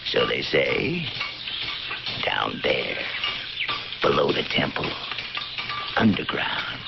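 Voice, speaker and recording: Crafty blackmailing innkeeper, radio drama. Deep, swooping delivery, falsely humble and hesitant. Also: male voice, huge pitch swings, slow pace, creaky, exaggerated voice. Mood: wheedling, greedy. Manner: hesitant, insinuating, mock-deferential. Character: sly, wheedling blackmailing tavern keeper of ancient Pompeii. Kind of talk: theatrical radio drama acting. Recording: noisy, muffled old radio broadcast.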